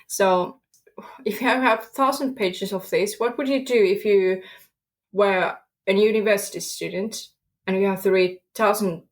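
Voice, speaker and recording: Young finnish woman, non-native english, lots of ums, halting but earnest. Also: medium pitch. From Finland. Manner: halting, searching for words with fillers and laughs. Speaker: guest student. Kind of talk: podcast interview.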